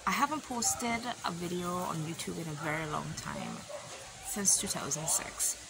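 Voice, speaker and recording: A young woman with a Barbadian accent chatting casually to a phone camera outdoors, relaxed and rambling, with many pauses and fillers. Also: on the low side, gravelly. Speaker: vlogger. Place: outdoors in a rural yard. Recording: loud outdoor noise behind.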